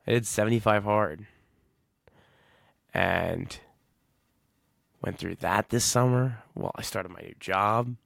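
A man's voice: on the low side, takes it slowly, creaky, pauses often, a bit bright, no room sound, studio quality. A man in his twenties hosting a solo podcast, talking casually and reflectively, rambling and often correcting himself. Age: 20s. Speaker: podcast host.

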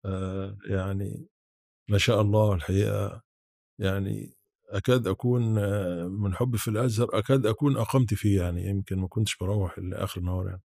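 A man in his seventies with an Egyptian accent, reminiscing in an interview in a halting way with repeated false starts. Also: on the low side, steady tone, creaky, a little mellow, no echo, clean studio sound. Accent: rural-born Egyptian Arabic. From Sharqia, Egypt. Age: 70s. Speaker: podcast guest, academic.

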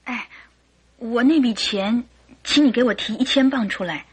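A woman acting a gentle young English wife with a secretive streak in a Chinese radio drama. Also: on the high side, normal speed, husky. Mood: guarded.

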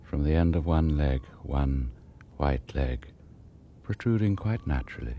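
An actor playing a sinister, wry British storyteller, narrating radio horror with deliberate dramatic pauses and dry relish. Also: male voice, a bass voice, animated, mellow, slight background noise, full character voice. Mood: macabre.

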